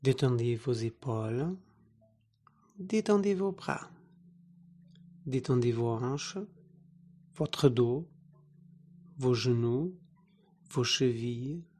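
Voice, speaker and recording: Slow, mellow meditation guide. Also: mid-range voice, animated. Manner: soothing, instructing in short phrases with pauses. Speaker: yoga nidra meditation teacher.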